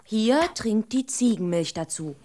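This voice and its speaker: An actress playing an earnest, tender-hearted young woman in a radio play, expressive and talking half to herself. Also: mid-range voice, unhurried, close to an everyday voice. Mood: tender, fond.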